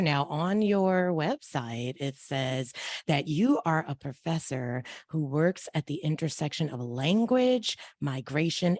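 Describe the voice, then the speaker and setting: Host, mid pitch, dry close mic, measured delivery. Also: female voice, no echo. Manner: measured, introduces questions with fillers. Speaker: podcast host. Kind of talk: podcast interview hosting.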